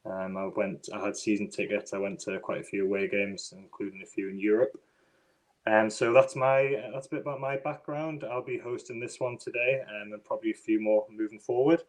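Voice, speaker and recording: A man's voice: on the low side, brisk. Northeast English man hosting a podcast. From northeast England. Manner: relaxed, many ums and restarts. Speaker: podcast host. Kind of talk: casual podcast chat.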